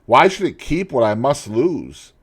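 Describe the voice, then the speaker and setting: A man reading aloud and voicing an anguished, vain young man, impassioned and pleading. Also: lively intonation. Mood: despairing. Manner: impassioned, pleading, high and drawn-out.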